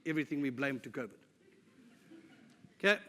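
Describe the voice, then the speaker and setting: Bright-voiced man in a hall. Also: medium pitch, lively intonation, brisk. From South Africa. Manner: jokey, informal, with self-deprecating asides. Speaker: conference speaker. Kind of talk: conference presentation. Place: conference hall. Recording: live talk in a reverberant hall.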